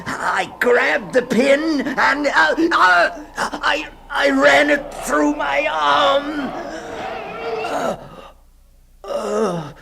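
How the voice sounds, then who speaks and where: An actor playing a terrified, desperate climber in a theatrical, halting radio monologue from the 1960s. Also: male voice, very high voice, creaky, full character voice. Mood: frantic panic. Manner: theatrical, halting, gasping, lurching between whispers and cries. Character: terrified, desperate male climber narrating his nightmare escape. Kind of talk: 1960s radio dramatic monologue of a fantasy tale. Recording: noisy 1960s radio recording.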